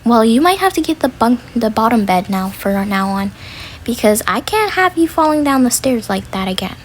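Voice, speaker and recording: A woman's voice: fairly high pitch, very animated, moderate pace, noisy background. A woman playing a practical, mildly stern mother who chides and instructs her kids in a family role play. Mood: concerned, practical.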